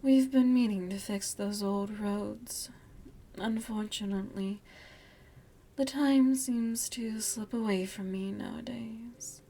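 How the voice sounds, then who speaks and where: A woman playing an elegant, seductive, motherly vampire lady of the manor in a softly half-whispered ASMR roleplay, genteel and coaxing. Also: fairly high pitch, takes it slowly, slightly rough, exaggerated voice. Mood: gracious, welcoming. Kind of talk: ASMR roleplay, soft breathy speech.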